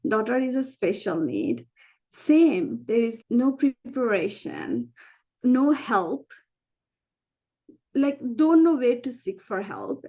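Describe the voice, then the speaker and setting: A woman's voice: a little high, darkish tone. A woman from Nepal with a Nepali accent, giving a candid, conversational personal account as an interview guest, repeating phrases for emphasis. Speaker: interview guest, physician and founder.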